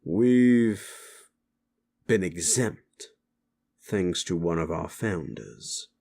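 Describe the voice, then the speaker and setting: A man playing a stern, weary keeper of an underworld club in an audio drama, measured, scolding and authoritative. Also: sits low, animated, vocal fry, mellow, full character voice. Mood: stern warning. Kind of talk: dramatic audio roleplay acting.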